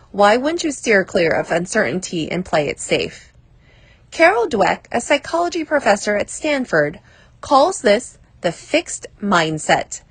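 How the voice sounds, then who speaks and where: Woman narrator, husky edge to the voice, very varied intonation, measured scripted reading, dry close sound. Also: mid-range voice, no room sound. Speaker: narrator reading an article aloud. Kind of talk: scripted narration of a personal advice essay.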